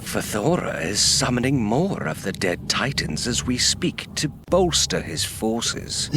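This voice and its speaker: Man as a slow, servile villain's henchman. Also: mid-range voice, big pitch swings, bright, forceful tone, slight background noise, exaggerated voice. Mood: dutiful. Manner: deferential, formal, servile. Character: obsequious male henchman reporting to his dark lord. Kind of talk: fan audio drama acting.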